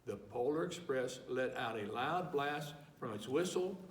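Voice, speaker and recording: A man volunteering as a reader, slowly and expressively reading a picture book aloud, clearing his throat between pages. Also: medium pitch, expressive, a little hiss or hum. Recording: echoey room, mic far off.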